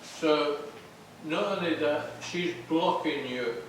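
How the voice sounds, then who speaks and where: A man's voice: a little high, slow. Male stage director, instructive, repetitive, very echoey room, far from mic, noisy. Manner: instructive, repeats himself, plain-spoken. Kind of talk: giving blocking notes at a play rehearsal. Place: echoey indoor rehearsal space, recorded from afar.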